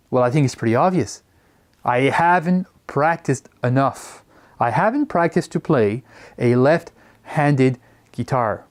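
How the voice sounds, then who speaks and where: Lively man lecturing. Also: fairly high pitch. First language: French. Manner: lively, stretches and stresses key words. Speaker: teacher trainer.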